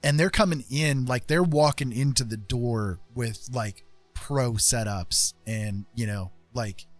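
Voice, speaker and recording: A man's voice: medium pitch, slow, no echo. A man chatting casually as a livestream host, explaining things in a rambling, emphatic way and repeating phrases for stress. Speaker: streamer host. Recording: music playing behind the voice. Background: music.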